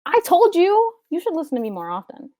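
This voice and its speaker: A woman's voice: very high voice, huge pitch swings, brisk, mellow, exaggerated voice. A woman playing an opinionated young college friend in a script table read. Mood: eager, smug.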